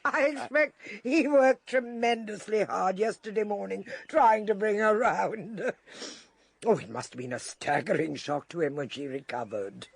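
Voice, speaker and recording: An actress playing a shrewd, eccentric older British lady detective in a radio drama, theatrical, deliberate and drily amused. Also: mid-range voice, vocal fry, breathy edge, no echo. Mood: assured, probing.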